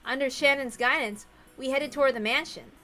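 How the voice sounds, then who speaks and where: Casting: female story reader, fairly high and bright, scripted narration with chatty asides, faint music behind. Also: fairly quick. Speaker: narrator and reader of a visual novel.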